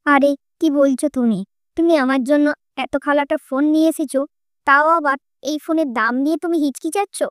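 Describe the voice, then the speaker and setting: Cartoon young bride, female performer: grateful, humble, affectionate, high-pitched. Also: fairly even intonation, brisk, dry recording, clean studio sound, natural voice. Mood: grateful, tender. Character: sweet, grateful young orphaned daughter-in-law. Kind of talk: Bengali cartoon fairy-tale voice acting.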